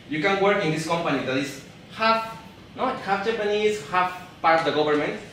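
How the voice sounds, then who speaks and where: A man from Peru in his thirties, speaking English with a Peruvian Spanish accent, gives a live talk to an audience. He speaks haltingly, often repeating and restarting words. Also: a little high, fairly bright tone, very echoey, far from the mic, slight background noise, low-quality recording. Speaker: event speaker. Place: event hall.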